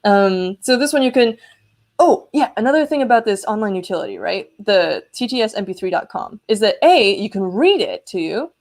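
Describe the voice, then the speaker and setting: A woman hosts a casual maker livestream with plenty of enthusiasm. Her voice sits at an ordinary pitch, and she talks informally, wandering from point to point as she demonstrates electronics. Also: expressive, moderate pace, darkish tone. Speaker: maker hosting a live electronics show.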